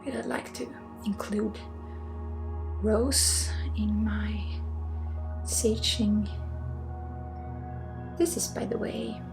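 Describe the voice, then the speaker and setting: Swedish woman doing asmr energy healing, creaky n whispery, casual and blunt, music in the background. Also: medium pitch, fairly even intonation. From Sweden. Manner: slips often between soft voice and breathy whisper; casual, blunt, swearing, halting with asides. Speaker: spiritual healer and ASMR host. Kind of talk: ASMR energy healing, intimate guided talk.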